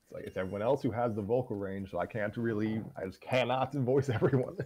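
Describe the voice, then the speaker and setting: Low-pitched man in his twenties. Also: fast, darkish tone. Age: 20s. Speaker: host of a story-reading voice show. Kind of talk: casual chat, project update.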